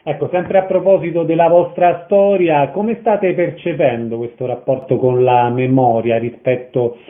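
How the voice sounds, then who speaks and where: A man's voice: on the high side, steady tone, normal speed, phone-quality sound. A man hosting a radio interview, putting questions and presenting items to listeners.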